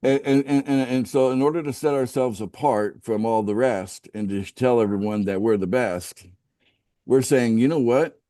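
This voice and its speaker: A middle-aged American man from the West Coast, pitching a business opportunity on a casual group call, often restarting sentences and asking the group for agreement. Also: normal speed, warm tone, no room sound, clean studio sound. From United States, West Coast. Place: outdoors by a home pool.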